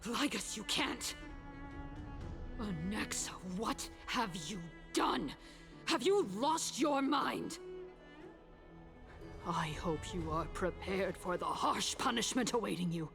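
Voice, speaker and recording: A stern, vindictive lady of politics, slow and imperious at a middling pitch. Every phrase is emphatic and menacing, in video game drama style over faint music. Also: lively intonation, creaky. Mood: outraged, threatening.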